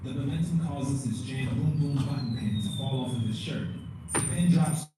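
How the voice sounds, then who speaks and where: A man's voice: mid-range voice, normal speed. A man giving dramatic scripted narration for an audio play. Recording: echoey, distant, noisy livestream playback.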